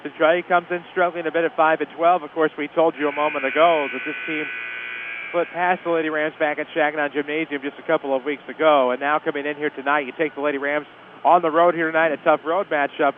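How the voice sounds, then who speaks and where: A man's voice: fairly high pitch, fast talker. A man working as a play-by-play announcer, giving live pregame commentary on local radio from a school arena over a phone-like line. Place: indoor school sports arena. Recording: phone-like line, steady venue noise.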